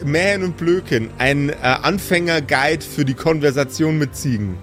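A man's voice: a little high, normal speed, over music. A man narrating an improvised tabletop role-play as game master.

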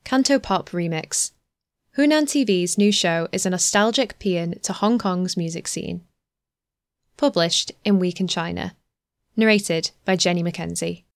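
A woman narrating a news article in a measured, even scripted delivery on a close mic. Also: fairly low pitch, no echo.

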